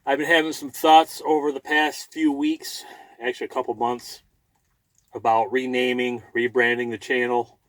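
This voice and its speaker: A man's voice: mid-range voice, little pitch movement, normal speed, a bit bright, dry recording, rough recording. Folksy man chatting casually, dry close sound. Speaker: vlogger and truck driver. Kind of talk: casual vlog chat. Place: inside a truck cab.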